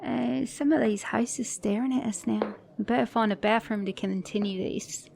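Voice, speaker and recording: A woman's voice: a little high, steady tone, moderate pace, gravelly, darkish tone. A woman voicing a flirty, sassy, caring mechanic in an intimate ASMR romance roleplay, teasing the listener as her date. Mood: flirty, sly. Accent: British or Australian.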